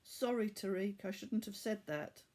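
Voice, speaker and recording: A woman's voice: mid-range voice, a little slow, a little mellow, close to an everyday voice. A woman voicing a frightened, headstrong girl in a children's audiobook, emphatic and reproachful. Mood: sorry. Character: frightened, indignant girl, bound captive, headstrong.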